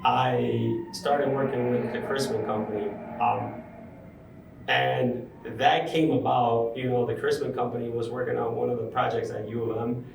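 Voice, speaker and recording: A young man, a construction superintendent, talking through his career in a relaxed interview with many pauses and fillers. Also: mid-range voice, vocal fry, background noise. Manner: thoughtful, frequent ums, restarts. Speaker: construction project superintendent, guest. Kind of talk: casual interview conversation. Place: large room at a university center. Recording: roomy, distant mic, faint ambience.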